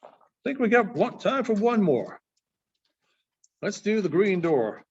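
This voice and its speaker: A man narrates short stories as a librarian at a reading. His voice sits high and moves through a wide, lively range. The recording is slightly dull and lo-fi. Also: unhurried, slightly rough. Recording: dull, muffled lo-fi sound.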